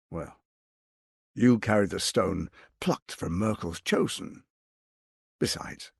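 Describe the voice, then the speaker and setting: A man playing a smooth-tongued, condescending tyrant lord in a video game, with a pompous, theatrical delivery and deliberate emphasis. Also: mid-range voice, dry recording, clean studio sound, exaggerated voice. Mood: grand, insinuating.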